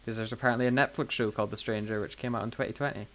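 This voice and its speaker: A man's voice: mid-range voice, steady tone, racing, a bit bright. A man co-hosting a podcast in casual banter, with wry, jokey asides. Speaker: podcast co-host.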